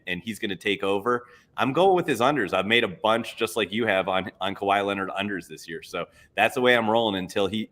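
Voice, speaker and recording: Upbeat man with a bright, low voice. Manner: upbeat, enthusiastic. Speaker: co-host. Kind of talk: casual betting picks chat.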